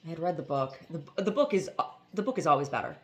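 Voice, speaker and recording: A woman reading a novel aloud, pausing between chapters for relaxed, chatty comments. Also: sits low. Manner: expressive reading, laughs at funny passages. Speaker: audiobook reader and channel host.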